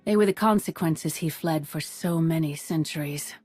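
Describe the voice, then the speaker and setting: A woman playing a wise older Jedi master and mother in a video game, speaking in a measured, formal way. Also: deep voice, a little mellow, breathy edge, no echo, soft background music. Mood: reflective. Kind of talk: video game cutscene acting.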